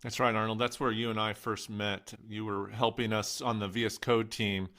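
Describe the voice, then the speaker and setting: Upbeat low-voiced podcast host. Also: male voice, little pitch movement, moderate pace, a bit bright. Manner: upbeat, friendly interviewer. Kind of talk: hosting a tech podcast.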